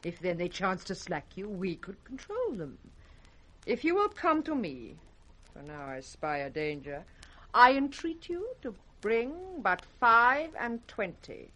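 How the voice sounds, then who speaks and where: An actress playing a scornful, hard-hearted royal daughter, haughty and emphatic, in radio Shakespeare. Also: female voice, medium pitch, sing-song, a little slow, bright, forceful tone, heavily acted. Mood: haughty reproach. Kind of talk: 1960s British radio Shakespeare acting. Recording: faintly noisy vintage radio recording.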